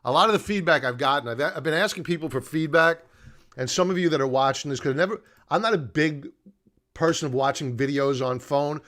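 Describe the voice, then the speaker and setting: A man's voice: fairly high pitch, a little fast, a bit bright. A middle-aged man hosting a live market analysis stream, talking off the cuff and explaining in a conversational, stop-and-start way. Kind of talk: unscripted live commentary, chatting to viewers.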